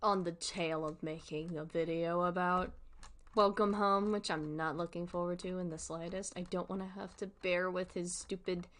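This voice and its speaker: A woman's voice: fairly low pitch, level tone, normal speed, fairly bright tone. A woman streamer giving casual livestream commentary in an informal, rambling, giggly way.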